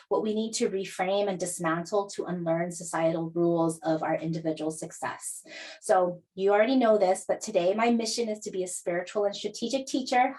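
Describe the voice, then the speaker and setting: A woman's voice: medium pitch, poor audio. A middle-aged woman from Hawaii, an astrologer and podcast host, teaching a live online masterclass in an upbeat, earnest way with frequent ums. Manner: upbeat, earnest, talks with filler ums and laughs.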